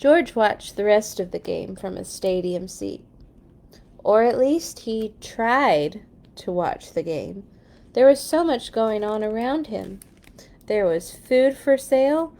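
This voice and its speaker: Slow-paced woman reading a children's storybook. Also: mid-range voice, creaky. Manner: expressive, playful asides to young listeners. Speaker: children's read-along storyteller. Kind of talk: storybook read-aloud with animated asides.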